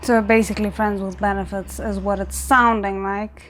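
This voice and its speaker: A woman hosting a reaction video, talking casually and thinking aloud in halting, repeated phrases. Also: medium pitch, fairly even intonation, slight background noise. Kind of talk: casual spoken reaction and commentary.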